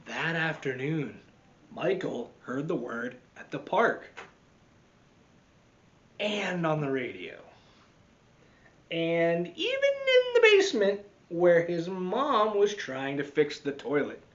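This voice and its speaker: A man reading a picture book aloud to children as a teacher, expressively, with dramatic swings and deliberate pauses. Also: on the high side, huge pitch swings, fairly bright tone, dull audio. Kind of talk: animated children's storytime read-aloud.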